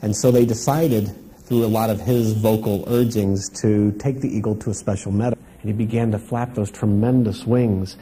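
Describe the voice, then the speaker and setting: A man with a husky voice telling a simple tale in an oral storytelling style. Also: on the low side, fairly even intonation, moderate pace, warm tone, poor audio.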